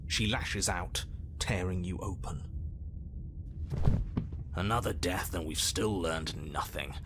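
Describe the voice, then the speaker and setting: A man voicing a brooding male game narrator who speaks in a slow, solemn, measured way. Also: deep voice, heavy background noise. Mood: somber. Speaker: game narrator and inner voices. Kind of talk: scripted game voice acting.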